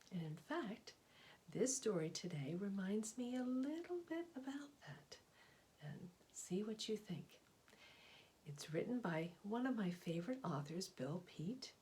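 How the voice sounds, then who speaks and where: A woman storyteller addressing young children, introducing and reading a picture book in an expressive, explanatory way. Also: on the low side, slight background noise. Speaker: children's read-aloud storyteller.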